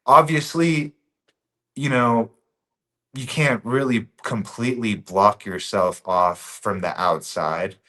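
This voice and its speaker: A man's voice: on the low side, slow pace, sharp tone. A man from Bosnia, a podcast guest talking casually and earnestly, often repeating his key phrases for emphasis.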